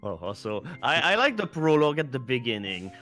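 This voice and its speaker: A man co-hosting a fan fiction reading stream with casual, excitable, mocking banter and some swearing. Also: mid-range voice, a little fast. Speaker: co-reader and commentator on stream. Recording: music behind the voice. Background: music.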